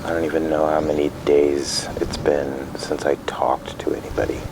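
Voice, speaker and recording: An actor playing an anxious, bewildered middle-aged father, speaking haltingly in a naturalistic audio drama. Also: little pitch movement. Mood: weary, confused. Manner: halting, hesitant, trailing off. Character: anxious, isolated, bewildered father leaving voicemails. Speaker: actor in a fiction podcast. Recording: noisy line with loud background rumble.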